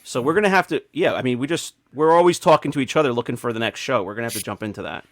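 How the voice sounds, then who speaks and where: A man in his forties with a mid-pitched voice chats as a podcast host. He reminisces in an informal, conversational way, recorded dry and close. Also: very fast, dry recording. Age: 40s. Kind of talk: casual group chat.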